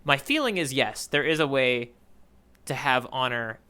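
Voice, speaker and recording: Guy podcast host, bright crisp voice, casual chat, works out his thoughts out loud and rewords stuff. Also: medium pitch, animated. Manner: halting, thinking out loud, rephrasing himself.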